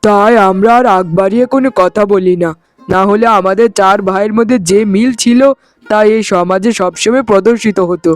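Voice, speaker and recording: A man's voice: high-pitched, brisk, dry recording, soft background music, close to an everyday voice. A man voicing an earnest village fish-farming brother in a cartoon family drama, talking emphatically. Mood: resigned.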